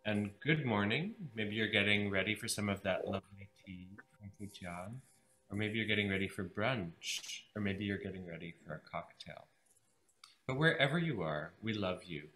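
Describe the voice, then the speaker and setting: A man hosting an online event, chatty and effusive, rambling warmly to guests and viewers over a video call. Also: fairly low pitch, moderate pace. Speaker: event host. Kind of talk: enthusiastic live event hosting.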